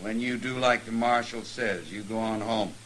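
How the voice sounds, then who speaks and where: A man's voice: on the low side, steady tone, moderate pace, a bit bright, lightly acted, poor audio. An American man playing a weary, proud former lawman and feared gunfighter in a 1950s Western radio drama. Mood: resigned. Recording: old radio recording with faint noise.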